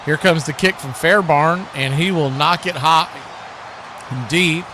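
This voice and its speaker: An American man calling live football play-by-play in an excitable, colloquial radio style, repeating phrases as the action builds. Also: on the high side, unhurried. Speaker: play-by-play sports announcer. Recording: faint background noise.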